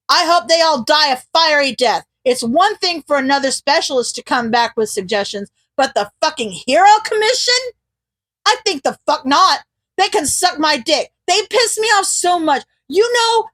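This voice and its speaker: Woman playing young male specialist, excitable, emphatic, high-pitched, dry recording, audio story character. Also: no echo. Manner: indignant, emphatic, cursing, nervous stammering. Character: ranting, foul-mouthed, excitable young man, a disaster specialist.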